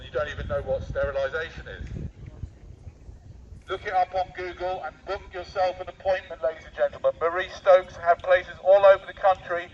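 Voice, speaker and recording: A British man giving a mock-serious, provocative public speech through a megaphone in a deadpan manner, addressing passers-by directly. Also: high-pitched. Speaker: street speaker with megaphone. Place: outdoor public park. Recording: dull megaphone outdoors, distant, faint noise.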